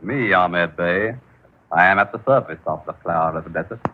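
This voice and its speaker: A solemn, obliging camel caretaker, acted by a man, speaks low. It is old radio comedy, tinny and buried in heavy noise. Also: moderate pace, close to an everyday voice. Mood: solemn, servile. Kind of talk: 1940s radio comedy acting. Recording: thin, very noisy 1940s radio recording.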